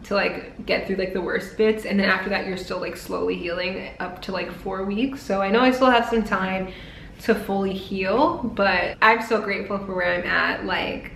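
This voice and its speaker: A woman's voice: medium pitch, fairly even intonation, moderate pace, gravelly, a bit bright. A young woman talking casually to her viewers, filling her sentences with "like". Her voice sounds a little strained from a recent throat surgery. She's in an echoey room with a faint hum of ambience behind her. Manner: chatty, peppered with "like". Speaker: vlogger. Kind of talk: casual vlog talk to camera.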